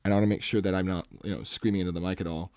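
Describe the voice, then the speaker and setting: A man's voice: low voice, fairly even intonation, racing, a little mellow, smooth, no echo. A man with a commanding, salesman-like voice chats casually to viewers while sketching on a livestream, rambling and correcting himself, close on the mic. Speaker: art livestream host. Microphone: microphone close to the face.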